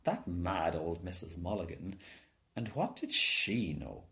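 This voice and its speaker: A man's voice: low-pitched, very animated, takes it slowly, fairly bright tone. A man narrating a children's storybook as a scripted reading. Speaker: storybook reader.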